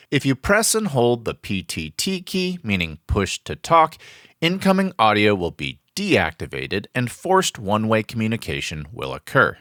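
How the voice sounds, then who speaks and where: Bright, animated male training narrator. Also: medium pitch, dry recording, clean studio sound. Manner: instructional, polished presenter delivery. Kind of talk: scripted product training narration. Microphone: close studio voice-over mic, dry.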